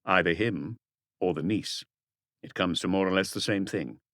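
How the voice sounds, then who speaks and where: A man voicing a shrewd police detective in an audiobook, speaking in a quiet, casual, measured way. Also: deep voice, no echo, clean studio sound. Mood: calm, probing. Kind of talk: audiobook character dialogue.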